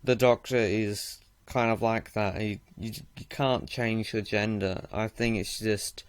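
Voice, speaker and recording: A man hosts a casual podcast, speaking plainly and directly as he asks questions and shares his views. His voice is lowish, smooth and clear. The sound is dry, with no room echo. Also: fairly even intonation, unhurried. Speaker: podcast host. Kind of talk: casual interview chat.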